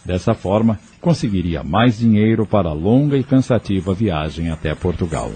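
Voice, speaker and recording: Low, mellow, expressive male narrator. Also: moderate pace, slightly rough. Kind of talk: scripted dramatic narration of an audio novel. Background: faint music.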